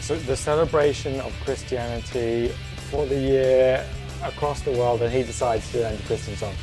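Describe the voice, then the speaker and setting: A man co-hosting a casual comedy review, croaky from a sore throat and teasing dryly. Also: mid-range voice, steady tone, moderate pace, music in the background, poor audio. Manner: dry, teasing. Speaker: co-host of a review show.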